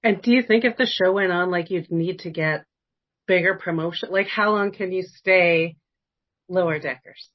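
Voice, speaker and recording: Woman, podcast interviewer, slightly low voice, casual, rambling questions, dull lo-fi sound. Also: normal speed.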